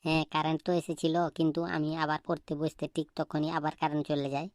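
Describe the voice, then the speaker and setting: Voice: mid-range voice, monotone, fast talker, dry recording, studio quality, lightly acted. A performer voicing a cheeky schoolboy in a comedy cartoon, earnestly reeling off wordy, silly answers. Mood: matter-of-fact excusing.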